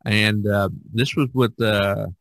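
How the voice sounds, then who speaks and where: A man hosting a podcast, talking casually in a halting, meandering way. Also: lowish voice, steady tone, creaky, fairly bright tone, no echo. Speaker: podcast host.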